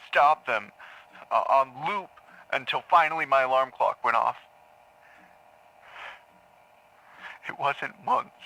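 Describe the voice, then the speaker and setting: A man playing a troubled patient in an audio drama, haltingly confessing dark memories to a therapist, stammering and repeating words. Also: medium pitch, low-quality recording. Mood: tormented, grim. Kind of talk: audio drama acting, intimate confessional monologue.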